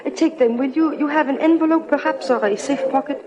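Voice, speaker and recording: A woman's voice: high voice, quick pace, highs cut off, a crowd in the background, lightly acted. Mysterious young lady with a French touch played by an actress, coy, old-time radio style. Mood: flustered. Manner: coy, polite, formal phrasing. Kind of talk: 1940s radio acting.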